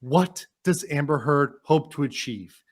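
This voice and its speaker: A man's voice: a little high, animated, unhurried. A man doing a dramatic reading as an indignant, courteous trial lawyer, formal and emphatic as he argues to a judge. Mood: insistent.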